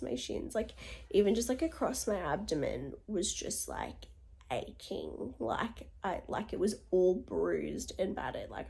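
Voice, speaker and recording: A young woman with a hoarse, croaky voice from illness, chatting casually in a video diary, tired but trying to stay upbeat. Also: a little mellow. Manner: tired, low-key, upbeat despite illness. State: congested, chesty cough. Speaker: vlogger.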